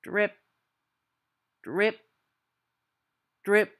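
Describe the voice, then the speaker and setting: A woman's voice: mid-range voice, extremely slow, fairly bright tone. A woman voicing a cocky teenage boy who tells a spooky story in a theatrical, teasing way.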